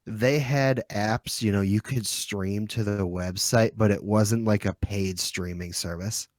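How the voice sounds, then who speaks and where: A man with a fairly low voice co-hosts a casual pop culture podcast. He talks with wry, joking asides, and the recording is dry and close. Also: fairly even intonation, unhurried, no echo. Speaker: podcast co-host. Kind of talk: casual group chat about pop culture.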